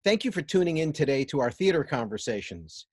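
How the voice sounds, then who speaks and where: A middle-aged man hosting a casual interview over a video call from his garden, speaking in a relaxed way with frequent pauses. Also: fairly high pitch, slightly rough, no echo, clean studio sound. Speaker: host, theater artistic director. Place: outdoor garden patio at home.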